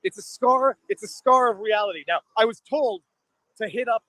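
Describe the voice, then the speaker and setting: A man's voice: high-pitched, moderate pace, bright, forceful tone, dry recording, poor audio. A man, talking as a live street interviewer, chatty and digressive, repeating the starts of his questions. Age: 40s. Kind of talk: spontaneous on-street interview and commentary.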